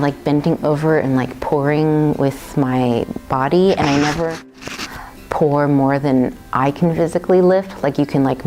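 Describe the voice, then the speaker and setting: A woman artist from Taiwan talking about her work in a thoughtful, unhurried way, with many fillers and restarts. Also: deep voice, mellow, low-quality recording. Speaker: visual artist.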